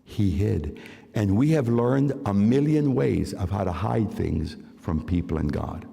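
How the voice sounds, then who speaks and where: A man's voice: fairly low pitch, gravelly, warm tone. An older man giving an emphatic evangelistic testimony in a church, repeating key phrases and speaking directly to his listeners. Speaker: concert pianist giving testimony. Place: large church sanctuary. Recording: long hall reverb.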